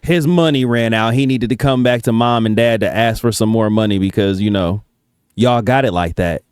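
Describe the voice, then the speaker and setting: American male reaction commentator, slangy and casual, deep clean voice. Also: normal speed, darkish tone, smooth, dry recording, studio quality. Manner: colloquial, slangy.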